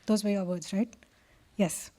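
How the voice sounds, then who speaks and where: A woman's voice: mid-range voice, fairly even intonation, a little slow, dark tone. A woman acts as moderator of a panel discussion. She speaks directly and with emphasis, steering the speakers through pointed questions.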